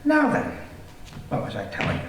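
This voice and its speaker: A man's voice: normal speed, close to an everyday voice. A man playing a vain, gloating serial killer in an old-time radio thriller on stage, with theatrical, gleeful cackling and relishing delivery. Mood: gloating, menacing. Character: gloating, vain male serial killer showing off his lair. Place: theater, live-streamed stage performance. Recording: echoey theater stage, distant, faint noise.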